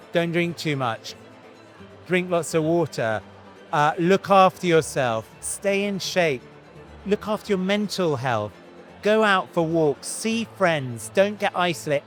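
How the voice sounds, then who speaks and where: A man in his fifties talks through interview answers in a thoughtful, earnest manner, often circling back and restarting his sentences. His voice sits a little high for a man. Soft music plays faintly behind him. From Iran, raised in Britain. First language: Farsi. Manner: thoughtful, earnest, with frequent restarts and "you know". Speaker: actor.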